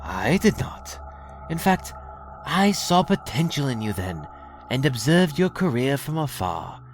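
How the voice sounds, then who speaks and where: An actor playing a sly, mocking alien raider lord in an audio drama, talking with mock politeness and theatrical menace. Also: male voice, medium pitch, very animated, a little slow, soft background music. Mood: mocking, self-satisfied. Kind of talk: scripted audio drama acting.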